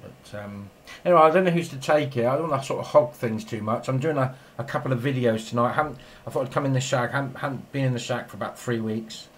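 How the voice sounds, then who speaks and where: A man's voice: medium pitch, fast talker, hardly any pauses. A man chatting casually and in a rambling way as a radio operator filming in his home shack. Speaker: amateur radio operator and video maker.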